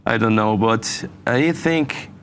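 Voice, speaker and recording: A young man from Venezuela, a university student answering interview questions in halting English and saying "you know" often. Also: mid-range voice, slight background noise. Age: young adult. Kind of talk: casual interview.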